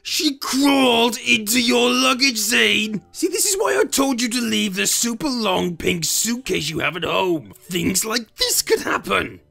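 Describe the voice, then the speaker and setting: A man voicing a vain, earnest, theatrical young man with a husky voice in an animated roleplay. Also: very high-pitched, unhurried, few pauses, exaggerated voice. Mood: stern, worried. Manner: melodramatic, self-admiring. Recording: background music under dialogue.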